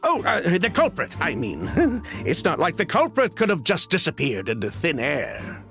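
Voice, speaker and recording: Young villager, wildly animated pitch, creaky edge, talkative, video game acting, faint music. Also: male voice, moderate pace, full character voice. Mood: nervous, flustered.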